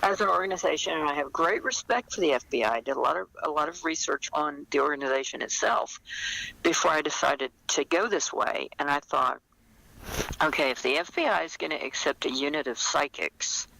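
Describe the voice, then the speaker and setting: Gravelly older woman, dry close sound. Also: deep voice, a little fast, sharp tone, no room sound, low-quality recording. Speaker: novelist, interview guest. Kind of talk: conversational interview, explaining her writing.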